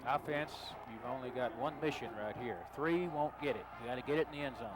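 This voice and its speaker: A man giving calm, measured color commentary on a football radio broadcast. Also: medium pitch. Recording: noisy stadium broadcast.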